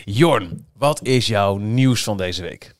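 A man's voice: lowish voice, lively intonation, slow pace, no room sound. Male podcast host, close and dry. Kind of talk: casual podcast presenting. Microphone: close podcast microphone.

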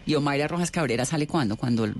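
A woman interviewer asking short, brisk, prompting questions. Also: low-pitched.

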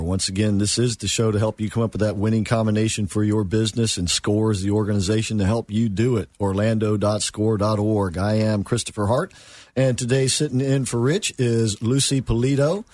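A man's voice: lowish voice, a little mellow, dry recording, clean studio sound. A man hosting a business talk show, asking short, easygoing questions to prompt his guest. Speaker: host.

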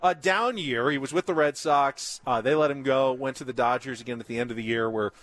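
A man's voice: mid-range voice, brisk, a bit bright, no echo. A man with an American accent, hosting a conversational sports radio show and asking questions. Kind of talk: conversational sports talk and interviewing. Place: on location at a baseball spring training camp.

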